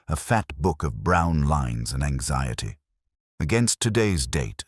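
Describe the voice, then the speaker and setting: A man narrating a mystery story as a scripted reading, in a measured, dryly literary manner. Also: very deep voice, no room sound, studio quality.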